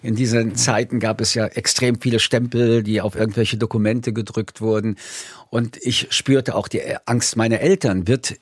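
An older man from France, whose first language is French, answers interview questions in a thoughtful, measured way with frequent hesitations. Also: mid-range voice, fairly even intonation, dry recording, studio quality. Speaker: interview guest.

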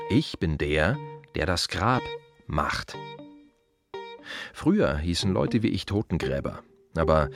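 An actor playing a plain-spoken, reflective gravedigger in a radio monologue, musing in short, colloquial, repeated fragments. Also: male voice, low-pitched, heavily acted. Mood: wry. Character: plain-spoken, reflective male city gravedigger at peace with work.

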